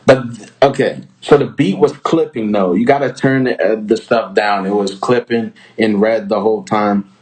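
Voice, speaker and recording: A man's voice: fairly low pitch, darkish tone, low-quality recording. A man from Trinidad and Tobago hosting a music showcase, giving casual, slangy, encouraging feedback as a producer.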